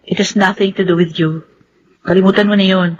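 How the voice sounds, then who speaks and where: A woman's voice: medium pitch, steady tone, a little fast, a little mellow, lo-fi sound, slight background noise, natural voice. An actress playing a stubborn, scheming young woman in a Filipino radio drama. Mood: defensive, pleading.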